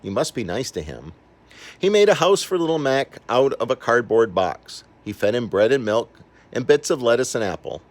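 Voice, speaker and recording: Older man reading a children's story, animated. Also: mid-range voice, moderate pace. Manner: animated, acts out the characters. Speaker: storybook reader. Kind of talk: expressive read-aloud of a children's story.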